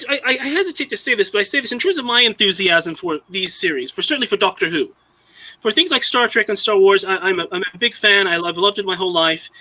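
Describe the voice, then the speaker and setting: A man's voice: sits high, pushed and bright, low-quality recording. A young man from Southern California chatting casually on a live stream, rambling with many restarts and fillers. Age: young adult. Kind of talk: casual chat.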